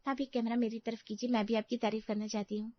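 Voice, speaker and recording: A woman acts out a helpful young sister-in-law for a cartoon family tale. She is high-pitched, eager and chirpy. The recording is close and dry, a little dull. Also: little pitch movement, fast, dry recording, natural voice. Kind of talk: animated moral-story dialogue.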